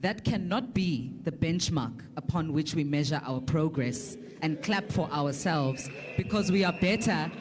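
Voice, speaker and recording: An articulate South African woman speaks as a panelist at a public forum. She builds a political argument emphatically, with deliberate phrasing and repetition to stress each point. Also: low voice, lively intonation, crowd noise.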